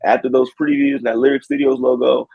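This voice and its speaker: A man's voice: mid-range voice, level tone, brisk, gravelly, breathy edge, dry recording, dull audio. A man in his early twenties, a guest on a casual podcast, talking in a laid-back way full of "like". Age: 20s. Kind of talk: casual interview chat.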